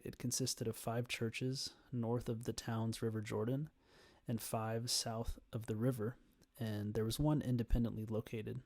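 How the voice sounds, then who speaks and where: A young man giving an informal prepared speech in an earnest, hesitant manner with frequent pauses. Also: medium pitch, a little mellow, dry recording. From Canada, Ontario. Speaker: student giving a persuasive speech.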